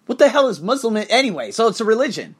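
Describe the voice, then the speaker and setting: A man hosting a podcast, delivering an emphatic, profane monologue to camera with sudden rises in pitch and frequent restarts. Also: big pitch swings, quick pace, a bit bright, slightly rough. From United States. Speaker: podcast host.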